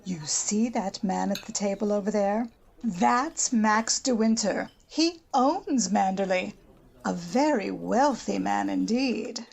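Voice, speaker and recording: A woman's voice: mid-range voice, slow, creaky, a little mellow. A woman playing a conceited, bossy older American society matron in an old-time radio drama, haughty and gushing. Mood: condescending.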